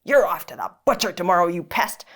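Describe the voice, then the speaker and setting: A woman's voice: fairly low pitch, expressive, brisk. A woman voicing a cross, bad-tempered man in a children's story, scolding and snappish. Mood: angry, threatening. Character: cross, bad-tempered man shouting threats at his goat.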